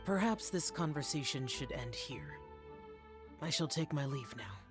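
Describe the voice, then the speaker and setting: A solemn, ancient immortal guardian from a video game, with a low, deep voice. The speech is formal, measured and reserved, set over clear background music. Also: female voice, lively intonation. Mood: calm, formal.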